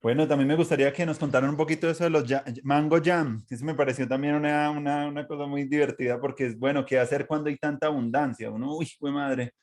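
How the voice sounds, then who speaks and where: A man's voice: fairly high pitch, steady tone, fast, hardly any pauses, darkish tone. A man with a Colombian accent hosting a casual conversation, moving through long, loosely linked trains of thought. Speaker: interview host.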